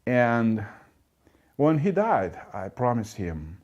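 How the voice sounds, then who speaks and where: Man, Romanian accent, slow halting testimony; slightly dull recording. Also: medium pitch, sing-song, a little mellow. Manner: halting, searching for words. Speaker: ministry graduate. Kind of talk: personal testimony.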